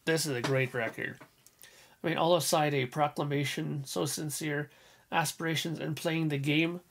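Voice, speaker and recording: A man with a hoarse voice casually talking through his record collection in a small home room, clearing his throat often. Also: on the high side, fairly even intonation, moderate pace. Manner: enthusiastic, frequent throat clearing. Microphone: headphones on, webcam or desk mic.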